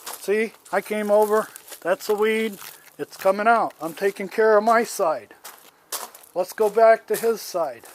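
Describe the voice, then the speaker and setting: An older man in his seventies talks outdoors into a handheld phone, airing an aggrieved complaint about his yard. His voice sits high for a man and he takes his time. He rambles and restarts in a plain, homespun way. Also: unhurried, slight background noise. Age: 70s. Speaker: homeowner. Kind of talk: casual complaint vlog, filming as he walks.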